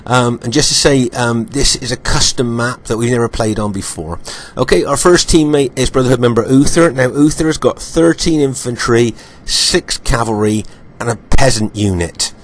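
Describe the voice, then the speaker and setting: A man with a slightly croaky voice, still getting over an illness, narrates a strategy game replay from home. He is unhurried and filler-heavy, and he often repeats points before moving on. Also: medium pitch. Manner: unhurried, many ums and uhs, repeats points. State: croaky voice while recovering from illness. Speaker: game commentator. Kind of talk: gameplay commentary over footage.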